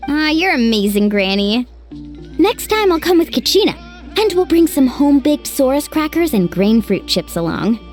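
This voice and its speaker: Cocky young game hero, high voice, really bouncy and playful, music under it. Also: female voice, sing-song, normal speed, darkish tone. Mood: playful, opinionated. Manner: brash, playful. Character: spirited, cocky young hero, fond of snacks. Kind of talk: video game voice acting.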